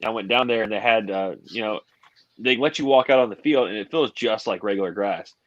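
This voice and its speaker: A man's voice: lowish voice, a little fast, a bit bright, dull audio. A man in his late thirties, co-hosting a casual podcast chat and rambling through explanations. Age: 30s. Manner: rambling, explains with hand-wavy comparisons. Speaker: podcast co-host.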